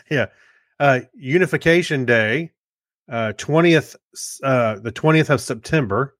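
A man of average pitch co-hosts a podcast in an easygoing, good-humored manner. He chats casually and reads comments aloud, recorded close with very little room sound. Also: a little slow, a bit bright, no echo. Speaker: podcast co-host. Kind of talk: casual chat, reading listener comments.

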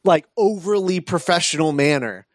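A man's voice: on the high side, expressive, moderate pace, dry recording, studio quality. A man hosting a podcast, talking casually about film and thinking aloud with frequent hesitations and repeats. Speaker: podcast host.

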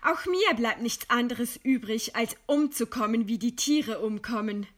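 An imperious lady condemned to die, in a literary radio play. The voice sits fairly high. The delivery is formal and composed, with proud defiance in the face of death. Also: unhurried. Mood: bitter, resigned. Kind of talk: radio play acting, stylised literary dialogue.

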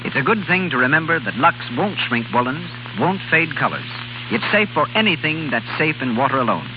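A man's voice: on the high side, sing-song, fairly quick. A man working as a radio announcer, reading scripted copy in 1930s style. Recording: noisy, dull 1930s radio recording.

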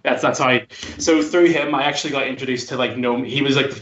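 A man's voice: mid-range voice, quick pace, a bit bright. A man in his thirties with a Belfast, Northern Irish accent, chatting casually as an interview guest in a discursive way. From Northern Ireland. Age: 30s. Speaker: podcast guest. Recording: dull lo-fi sound, echoey room.

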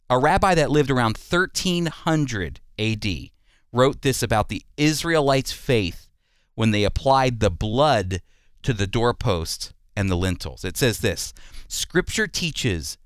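A man with a smooth, resonant voice at an average pitch delivers a teaching sermon. He explains scripture deliberately and leans on key phrases for weight. The sound is dry and close, with very little room on it. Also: lively intonation, no echo. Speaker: preacher and Bible teacher.

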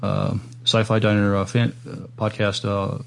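A man's voice: on the low side, completely flat delivery, unhurried, vocal fry, fairly bright tone. A man chatting casually as a podcast co-host. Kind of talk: casual chat.

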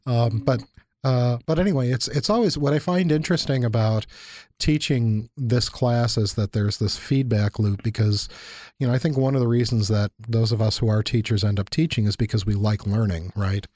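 A man's voice: medium pitch, normal speed, a little mellow, no echo, clean studio sound. A man co-hosting a casual chat, talking in a reflective, thoughtful way. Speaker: university lecturer and podcast co-host.

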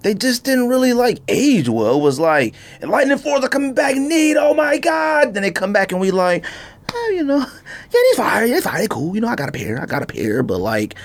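A man's voice: very high-pitched, huge pitch swings, fairly quick. An American man hosting a podcast, chatting casually and emphatically in loose slang. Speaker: podcast host. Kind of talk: casual chat about sneakers.

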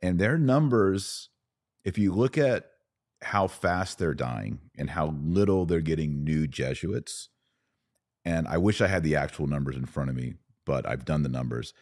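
A man with an extremely low, deep voice talks as a podcast guest. He speaks conversationally, earnestly and deliberately, often restarting as he works through his point. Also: expressive, a little mellow.

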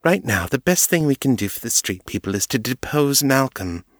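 A man's voice: medium pitch, sing-song, normal speed, no room sound, clean studio sound, voice pushed up. A man voicing a haughty, aristocratic female vampire who speaks in a polished, condescending way. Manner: polished, formal, patronizing.

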